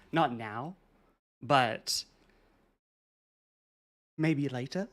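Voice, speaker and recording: A game streamer talking casually through a live stream, chatting with viewers and reading chat aloud in a soft, soothing way. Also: mid-range voice. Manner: chatty, reads and answers chat.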